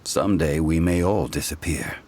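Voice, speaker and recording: A man voicing a calm, ancient god in mortal guise for a video game, speaking in a measured, formal and reflective manner. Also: rumbling low. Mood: solemn, reflective. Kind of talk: scripted video game voice acting.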